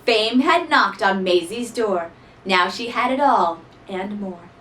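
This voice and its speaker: A woman reading a rhyming children's story aloud in an expressive, theatrical style. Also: mid-range voice, takes it slowly, slight background noise. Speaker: storybook reader. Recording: voice somewhat distant from mic.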